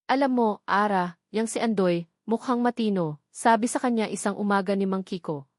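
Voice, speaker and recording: Female storyteller, scripted dramatic narration, mid-pitched voice, very dry close recording. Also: darkish tone, no room sound.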